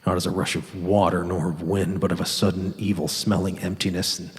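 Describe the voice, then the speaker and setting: Deep voiced guy narrating as a sick jaded safari writer, measured and moody, big reverb tail. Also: little pitch movement. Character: ailing, world-weary, cynical writer on safari. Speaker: actor narrating in character. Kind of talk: dramatic first-person literary narration. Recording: long reverb on the voice.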